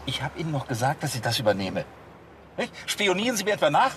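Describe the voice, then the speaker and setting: A man's voice: on the high side, huge pitch swings, fast talker, fairly bright tone, slight background noise, heavily acted. An actor playing a stern, exasperated father and police chief, indignant and authoritative in a TV crime comedy. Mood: irritated. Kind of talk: naturalistic TV crime comedy acting.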